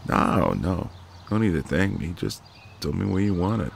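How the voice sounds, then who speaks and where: A man playing a friendly, gallant, gently teasing ex-marine neighbour in an intimate, easygoing one-sided roleplay. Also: low-pitched, big pitch swings, normal speed, vocal fry, slight background noise, exaggerated voice. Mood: gently teasing, insistent. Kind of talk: intimate ASMR roleplay, one side of a conversation.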